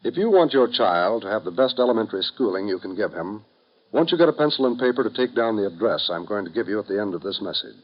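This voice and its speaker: An American man reading a 1950s radio public-service announcement in a formal, earnest, measured manner. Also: fairly low pitch, animated, slight background noise. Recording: dull vintage radio recording.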